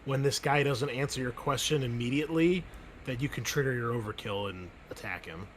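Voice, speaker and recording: A man running a tabletop game as game master, narrating in a casual, halting way full of fillers. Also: mid-range voice, a little hiss or hum.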